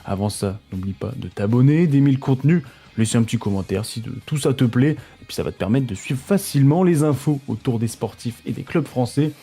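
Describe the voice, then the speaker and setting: A man's voice: mid-range voice, lively intonation, brisk, mellow, slightly rough, faint music behind. A man from France presenting a weekly sports news roundup in a chatty way, talking straight to his viewers and stumbling over foreign names.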